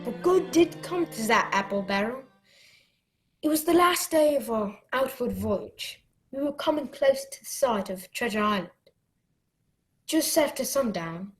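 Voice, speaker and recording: A woman's voice: high-pitched, poor audio. A woman playing an eager boy sailor who earnestly narrates a sea adventure in a radio play.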